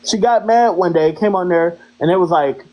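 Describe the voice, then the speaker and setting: A woman with a deep voice, a live-stream guest talking bluntly and indignantly, with plenty of profanity. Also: normal speed, muffled recording. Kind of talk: heated live-stream talk.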